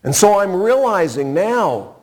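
A man in his sixties preaching a sermon in a conversational, folksy way, with rhetorical questions and pauses. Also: sits high, sing-song. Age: 60s. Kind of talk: sermon with scripture reading and personal anecdotes.

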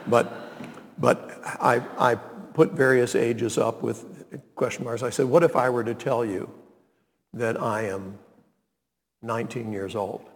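A man, preaching a sermon and reading scripture, deliberate and emphatic. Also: mid-range voice, fairly even intonation. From North Carolina, US. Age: 90s. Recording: long reverb of a large hall.

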